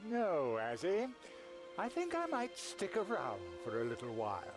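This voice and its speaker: An actor playing a sly, mocking, self-serving trickster from a shadow realm in a fantasy video game, with a teasing, theatrical drawl. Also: male voice, sits high, huge pitch swings, unhurried, heavily acted. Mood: playful, sly. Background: music.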